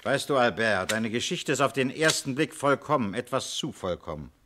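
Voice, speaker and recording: An actor playing a shrewd, commanding police inspector in a German radio crime drama, questioning in a terse, authoritative way. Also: male voice, mid-range voice, animated, normal speed, noisy background, lightly acted. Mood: calm, knowing.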